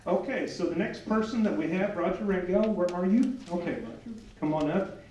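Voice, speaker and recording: A man's voice: high-pitched, a little fast, mellow, a little hiss or hum. A man emceeing a business meeting, chatty, making friendly plugs and introducing speakers. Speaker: event emcee. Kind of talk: meeting announcements. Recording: echoey room, far from mic.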